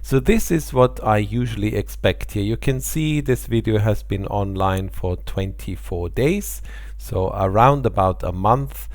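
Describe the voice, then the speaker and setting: A man's voice: fairly low pitch, a little slow, dry recording. A middle-aged man from Austria, a native German speaker with an Austrian German accent, explaining his channel statistics in a friendly, casual way. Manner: friendly, explanatory, occasional small laughs. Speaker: airline pilot and channel host. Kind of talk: casual talk to camera about channel statistics.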